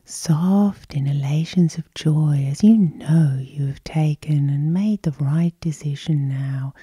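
A woman softly guiding listeners through sleep hypnosis in a breathy, whispery, lulling ASMR style. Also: low-pitched, no room sound. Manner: soft, slow, lulling. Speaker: hypnosis session host.

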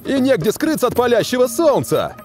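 An actor playing an excitable, cheeky young male footballer in a dubbed cartoon. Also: very high voice, fairly quick, soft background music, full character voice. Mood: anxious.

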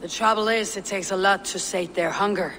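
A woman playing a stern, composed Viking warrior leader in a video game, speaking in a measured, firm way. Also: mid-range voice, steady tone, fairly bright tone, slight background noise, lightly acted, rough recording. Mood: coolly menacing. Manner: measured, firm, coolly menacing. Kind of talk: video game dramatic acting.

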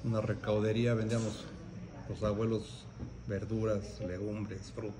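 A man's voice: on the low side, flat delivery, normal speed. A man in his sixties with a Mexican accent, recounting family business history as an interviewed restaurant owner. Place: restaurant dining room, indoors. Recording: clearly noisy restaurant room background.

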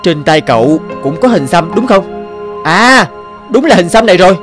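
An actor playing an aggrieved, accusing middle-aged male shopkeeper in an animated drama. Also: high-pitched, normal speed, faint music behind.

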